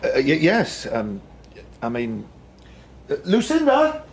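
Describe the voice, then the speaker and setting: An actor playing an awkward, earnest middle-aged son, polite and stammering in a naturalistic radio drama. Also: male voice, on the high side, wildly swooping pitch, slow, a little hiss or hum, exaggerated voice. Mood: startled, awkward. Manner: stammering, tentative.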